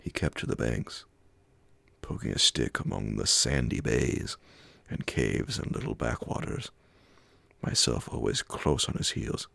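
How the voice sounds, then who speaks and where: A man reading classic horror fiction as an ASMR audiobook, in a hushed, measured, breathy and gravelly voice. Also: extremely low pitch, dry recording. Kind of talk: ASMR audiobook scripted narration.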